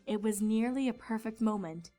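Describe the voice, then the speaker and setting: A woman's voice: a little high, normal speed, mellow, faint music behind. A woman reading scripted fan fiction narration as a storyteller.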